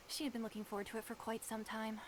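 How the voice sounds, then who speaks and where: Game casting: woman as female crew member, high and quick, politely informative, noisy backdrop. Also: flat delivery, lightly acted. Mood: brisk, informative. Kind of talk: video game character dialogue.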